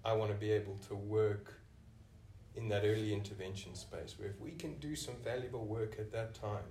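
A South African man speaks in a lowish voice, heard from a little way off the microphone in an echoey room. He answers interview questions thoughtfully, often circling back and restarting his sentences as he works through each point. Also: moderate pace, warm tone, distant mic, a little hiss or hum. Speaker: senior clinician, podcast guest.

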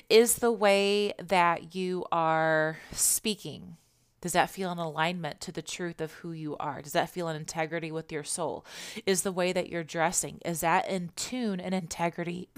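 A woman hosting her own podcast, a little congested and hoarse from allergies, talking in a gentle, reflective way and often repeating herself as she asks questions aloud. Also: lowish voice. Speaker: podcast host. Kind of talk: casual spiritual podcast monologue.

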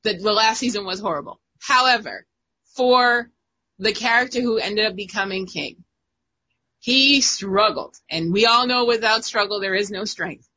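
A woman's voice: medium pitch, moderate pace, pushed and bright, low-quality recording. A young adult woman co-hosting, chatting casually with a playful, teasing manner and frequent laughter. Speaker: podcast co-host. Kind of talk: casual chat.

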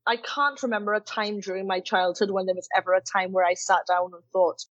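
A woman's voice: mid-range voice, fairly even intonation, a little fast, no echo. Earnest reflective woman from Trinidad. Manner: reflective and earnest, many "you know" and "kind of" fillers. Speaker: guest. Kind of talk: candid personal storytelling. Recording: slightly dull, dry recording.

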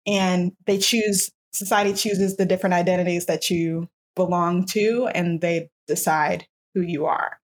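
A woman's voice: on the low side, fairly even intonation, a little slow, few pauses. A woman in her twenties, a podcast host, telling a personal story in a reflective, casual way with many fillers and restarts. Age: 20s.

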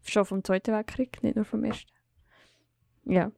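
Woman podcast co-host, gravelly and flat, dry close sound, chatty. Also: medium pitch, moderate pace, dry recording. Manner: conversational, agreeing, thinking aloud. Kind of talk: casual discussion.

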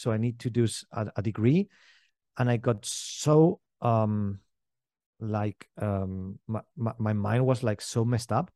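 Man, mid-pitched voice, casual interview storytelling, dry recording. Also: warm tone, no echo. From Spain. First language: not English. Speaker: podcast guest, entrepreneur.

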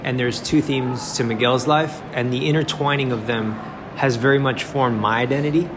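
A man's voice: mid-range voice, fairly even intonation, moderate pace. Chatty young male podcast host. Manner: eager, chatty, addresses guest as bro and man. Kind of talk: casual interview chat. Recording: background noise.

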